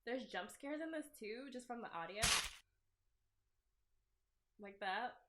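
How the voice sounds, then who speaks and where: A woman's voice: fairly high pitch, lively intonation, slow, heavy background noise. A young woman giving casual, rambling and self-deprecating commentary as she plays through a game, with a giggly manner. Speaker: game developer hosting a playthrough. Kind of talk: casual let's play commentary. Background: game music.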